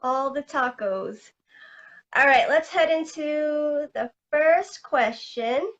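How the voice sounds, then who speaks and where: A woman interviewer asking friendly questions, upbeat and quick to laugh. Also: sits high, lo-fi sound.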